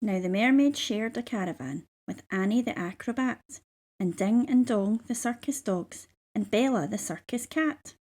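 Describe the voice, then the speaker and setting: A woman reading a children's story aloud in measured, rhythmic verse. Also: mid-range voice, animated, darkish tone. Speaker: storybook reader.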